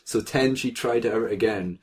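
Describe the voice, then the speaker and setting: A man's voice: mid-range voice, normal speed, a little mellow. A man co-hosting a comic read-aloud of fan fiction, joking in asides as he reads.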